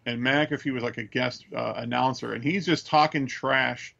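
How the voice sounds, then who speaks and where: A dull, muffled recording of an American man with a heavily creaky, gravelly voice at a middling pitch. He talks casually as a podcast co-host, picking through his analysis in a halting way. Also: steady tone, pushed and bright. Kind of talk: casual chat, measured analysis.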